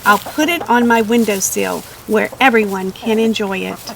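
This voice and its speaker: A woman's voice: medium pitch, moderate pace, vocal fry, slight background noise, natural voice, poor audio. A woman voicing an enthusiastic, bossy storybook farm woman who speaks in an emphatic, exclaiming way. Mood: delighted. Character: eager, bossy, enthusiastic woman hen farmer.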